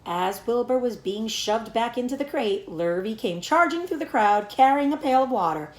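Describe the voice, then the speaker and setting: A woman reading a children's book aloud as a teacher, in an animated storytelling manner. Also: fairly high pitch, moderate pace, reverberant. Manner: animated storytelling with acted dialogue.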